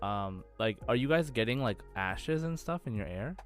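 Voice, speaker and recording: Mid-pitched man hosting a casual podcast. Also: animated, moderate pace, faint music behind. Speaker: podcast co-host. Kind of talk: casual gaming podcast chat.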